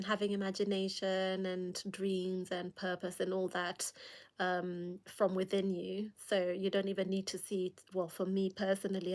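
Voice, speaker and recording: A young woman speaks with a Kenyan accent, chatting casually and reading comments aloud. Her voice sits in a middle pitch for a woman. A lingering cold leaves her coughing now and then. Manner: chatty, laughs often, reads viewer comments aloud. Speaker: livestream host. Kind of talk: casual late-night livestream chat.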